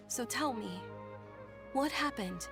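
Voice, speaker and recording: An actress playing a caring, refined young noblewoman in a video game, speaking politely and gently. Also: fairly high pitch, heavily acted. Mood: concerned. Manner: polite, soft-spoken, attentive. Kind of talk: video game character acting. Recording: music behind the voice.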